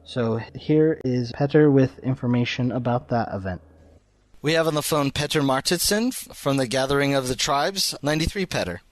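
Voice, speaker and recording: A man's voice: mid-range voice, normal speed, dry recording. A man speaking as a podcast host, interviewing guests in a conversational way.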